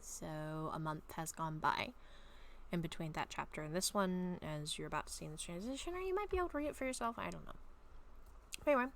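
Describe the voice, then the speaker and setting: A woman chatting casually and reading her own story aloud at home, a little raspy from a long talking session, rambling with many soft ums. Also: lowish voice, expressive, fairly quick, a little hiss or hum. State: slightly raspy throat. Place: at home, bedroom.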